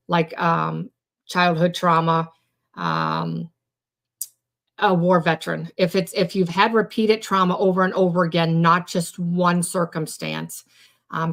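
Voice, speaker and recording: A woman hosting a weekly live stream as a trauma coach, her voice hoarse and raspy after days of recording, rambling with many fillers and restarts. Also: on the low side. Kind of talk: informal live-stream teaching.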